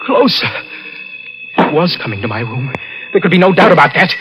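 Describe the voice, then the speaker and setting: A young killer falling apart, his pitch leaping wildly as dread takes hold. He stammers, narrates the dark night, and breaks into frantic laughter. It comes over an old radio broadcast with music underneath. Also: sing-song, brisk. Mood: tense narration. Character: guilt-ridden young man who murdered his uncle, unravelling into panic. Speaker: narrator and lead character. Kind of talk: 1940s radio drama acting.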